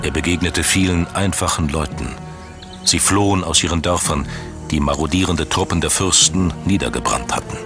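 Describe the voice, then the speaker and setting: Male documentary narrator, low register, scripted and dramatically measured, over a music bed. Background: background music.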